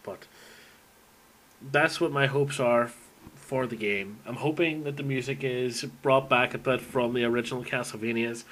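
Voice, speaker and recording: A man's voice: medium pitch, fairly even intonation, normal speed, a bit bright, poor audio. Casual man rambling about his opinions. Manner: rambling, thinking aloud. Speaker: video game fan recording an audio log. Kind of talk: casual unscripted opinion monologue.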